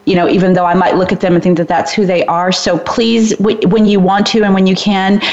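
A woman's voice: mid-range voice, level tone, a little fast, darkish tone, highs cut off. A woman hosting an interview, asking curious, encouraging questions and listening with frequent affirmations. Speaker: interview host. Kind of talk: conversational interview.

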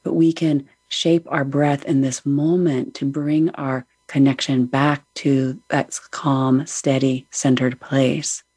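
A middle-aged woman hosting a show, leading listeners through a soothing, unhurried guided breathing meditation. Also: very deep voice, little pitch movement, husky, dry recording. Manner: soft, soothing, unhurried guidance. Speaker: podcast host.

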